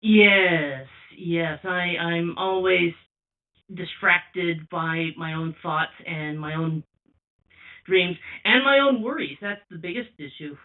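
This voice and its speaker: A young man with a high voice calling in anonymously, speaking slowly and hesitantly with many restarts. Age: young adult. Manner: slow, hesitant, restarts often. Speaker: caller. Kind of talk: anonymous call-in sharing. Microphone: phone call. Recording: tinny phone line from far off.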